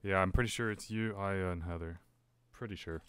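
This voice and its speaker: A man's voice: low-pitched, brisk, smooth. A young man streaming a VR game, chatting casually into his headset mic, rambling with lots of "like" and "uh". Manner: rambling, fills talk with "like" and "uh". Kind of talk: casual live-stream chat.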